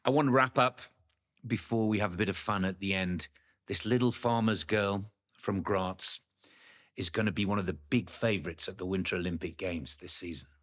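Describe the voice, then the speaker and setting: Man, interviewer, lowish voice, friendly, encouraging, long questions. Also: moderate pace, a bit bright. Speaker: interviewer host. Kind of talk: podcast interview.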